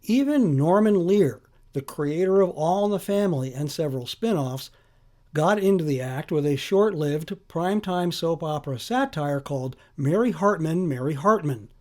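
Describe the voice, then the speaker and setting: A man hosting a podcast, reading scripted documentary narration in a measured, deliberate way with emphasis on lists. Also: on the high side, expressive, darkish tone.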